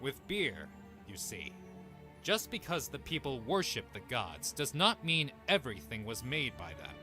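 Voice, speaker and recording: A man's voice: medium pitch, wildly swooping pitch, a little slow, bright, forceful tone, soft background music, full character voice. A man playing a proud, imperious young king in a comic dub, with a pompous, lofty manner. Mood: lofty, lecturing. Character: proud, boastful young king of ancient times, imperious but amused. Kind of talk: scripted comic dub acting.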